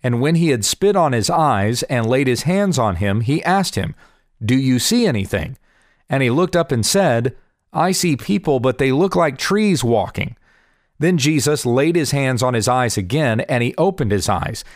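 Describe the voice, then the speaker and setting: A man in his forties, slightly hoarse from allergies, earnestly explaining Bible passages and answering viewer questions. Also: mid-range voice, animated, normal speed, no room sound, studio quality. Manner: earnest, explanatory, reads scripture aloud. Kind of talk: casual Bible teaching video. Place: home in Kansas.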